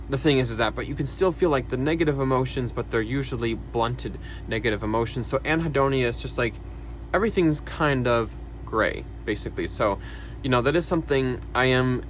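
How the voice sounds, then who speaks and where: A young man talking casually to camera about his own life, soft-spoken and hesitant, with many fillers and quiet sighs. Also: medium pitch, slight background noise. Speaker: vlogger. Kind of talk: casual personal talk to camera.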